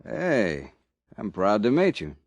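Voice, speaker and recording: An actor playing a blunt, wary frontier newspaperman in a 1950s radio drama, with a gruff casual drawl. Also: fairly low pitch, huge pitch swings, slow, dry recording, full character voice.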